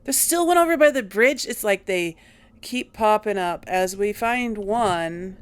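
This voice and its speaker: A woman's voice: mid-range voice, lively intonation, a little slow, smooth. A woman doing casual video game commentary, musing aloud and questioning herself as she plays. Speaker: let's play host.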